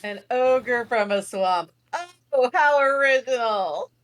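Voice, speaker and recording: A woman's voice: on the high side, takes it slowly. A woman voicing a snobbish, irritable king and disapproving father in a comedic table read, haughty and scornful. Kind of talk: comedic table read of animated film script.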